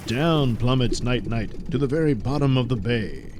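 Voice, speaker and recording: A man with a medium-pitched voice narrates an adventure serial. His delivery is scripted and dramatic, in the role of storyteller. Faint noise runs behind the voice. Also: lively intonation, moderate pace.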